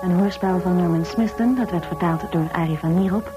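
A woman's voice: on the low side, a little fast, lo-fi sound. A woman radio announcer reading out scripted closing credits in a formal broadcast style. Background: music.

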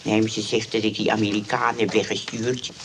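A man's voice: fairly low pitch, flat delivery, brisk, gravelly, background noise. An actor playing a sheepish, evasive old grandpa in a radio comedy, hesitant and repeating himself as he stammers excuses. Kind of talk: radio comedy drama acting.

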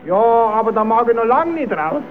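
An actor playing a naive, cheeky, quarrelsome puppet-show rascal with a southern German accent in an old radio play. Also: male voice, very high-pitched, big pitch swings, moderate pace, faint music behind. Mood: naive curious. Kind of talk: old radio play, comic dialect acting. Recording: tinny, narrow-band old radio recording.

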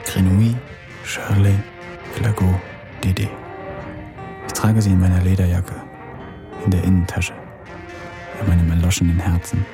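A man's voice: low voice, level tone, normal speed, pauses often, music in the background. An actor playing a bleak man clinging to memories, in a radio drama monologue.

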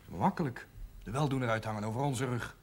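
An actor playing a resentful, sceptical brother in a television drama, sarcastic and grumbling. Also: male voice, medium pitch, fairly quick, breathy edge. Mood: sour, resentful. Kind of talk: television period drama acting.

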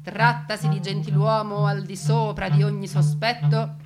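A woman delivering theatrical scripted narration in a deliberate, emphatic, mock-solemn manner, like a stage storyteller. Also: medium pitch, fairly even intonation, over loud music.

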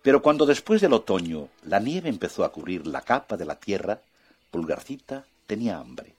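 Male narrator for a children's audiobook, husky, very animated. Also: on the low side, moderate pace. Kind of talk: children's storytelling.

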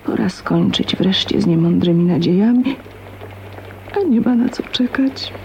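An actress in a Polish radio drama playing a timid, bitter spinster of twenty-nine, musing to herself in a hushed, resigned manner. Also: female voice, mid-range voice, measured pace, a little hiss or hum, lightly acted. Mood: resigned, sad. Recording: dull lo-fi sound, music behind.

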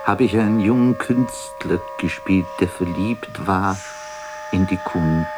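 A man playing a world-weary, self-mocking stage actor in a radio drama monologue, declaiming haltingly with bitter irony and often sinking to a breathy whisper. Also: deep voice, background noise. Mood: wistful, bitter.

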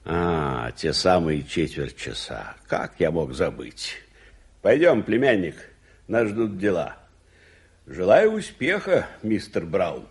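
A man's voice: deep voice, big pitch swings, takes it slowly, exaggerated voice. An actor playing a blustering uncle, a proud war veteran turned businessman, theatrically swinging between indignation and courtesy in a radio play. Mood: brisk. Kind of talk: 1980s Soviet radio play acting.

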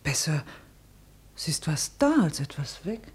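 Wary, low-voiced sister recounting terror. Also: female voice, sing-song, a little mellow, husky, full character voice. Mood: guarded. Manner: declamatory, measured verse delivery. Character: wary, fearful working-class sister recalling wartime terror. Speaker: actor and verse narrator. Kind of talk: rhymed theatrical radio drama.

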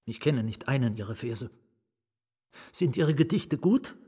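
An actor playing a middle-aged, secretive and wry asylum patient in a radio drama, probing and conspiratorial, often hushed and breathy. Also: male voice, medium pitch, lively intonation, a little slow. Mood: sly, wry. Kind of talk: German radio drama acting.

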